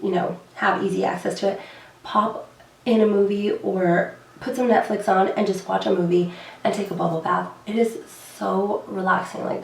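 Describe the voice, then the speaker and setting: A young woman beauty vlogger with a hoarse, recovering voice, chatting casually to camera with many fillers and repeated words. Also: medium pitch, roomy sound, recorded from a distance, rough recording.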